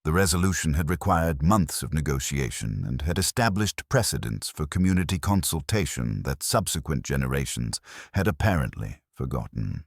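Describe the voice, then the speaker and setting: Very deep-voiced man narrating a mystery. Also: lively intonation, gravelly, dry recording, studio quality. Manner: formal, measured storytelling. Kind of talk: scripted audio mystery narration.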